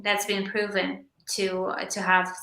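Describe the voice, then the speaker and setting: A woman in her forties, a naturopathic doctor, explaining health topics in a measured way during a casual podcast chat. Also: mid-range voice, completely flat delivery, pushed and bright, roomy sound, low-quality recording. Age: 40s. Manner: explanatory, measured, occasionally hesitant.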